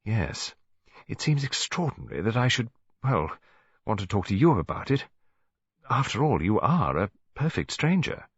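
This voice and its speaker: A man's voice: lowish voice, big pitch swings, normal speed, husky, dry recording, lo-fi sound, exaggerated voice. A man voicing a genteel, practical English lady near seventy, who chatters politely in an audiobook story. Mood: hesitant, confiding. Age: older. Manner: chatty, rambling, polite tag questions.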